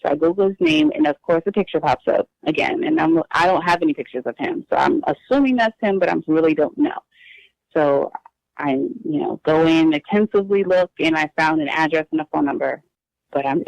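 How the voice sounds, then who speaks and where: A woman guest telling her adoption story in a personal interview, hesitant and halting, with frequent restarts. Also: deep voice, darkish tone, no echo. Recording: dull lo-fi dry recording.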